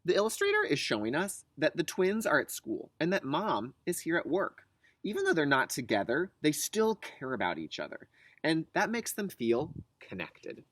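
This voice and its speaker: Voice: medium pitch, huge pitch swings, moderate pace, fairly bright tone, no room sound. A kindergarten teacher leading a read-aloud lesson for young children, instructive, with simple wording and repeated key phrases.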